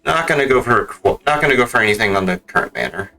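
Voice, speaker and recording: A man's voice: mid-range voice, animated, quick pace, vocal fry, pushed and bright, slight background noise. A man commentating casually on a game livestream, swearing freely in blunt, slangy reactions. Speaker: game streamer.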